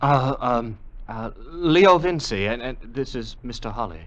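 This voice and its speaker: An actor playing a jumpy, blunt younger adventurer in excitable 1940s radio style. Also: male voice, medium pitch, unhurried, lo-fi sound. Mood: startled. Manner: plain-spoken, excitable. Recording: noisy vintage radio recording.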